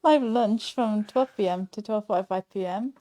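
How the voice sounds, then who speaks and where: A woman's voice: medium pitch, normal speed, mellow. A woman co-hosting a casual live show. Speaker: show co-host.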